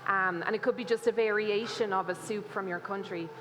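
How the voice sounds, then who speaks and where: Irish woman cookbook author, chatty live-demo talk, reverberant hall, medium pitch. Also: steady tone, fairly quick, fairly bright tone. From Ireland. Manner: chatty, laughing, many fillers. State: slight cough. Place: festival stage in a large hall. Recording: reverberant hall, faint noise.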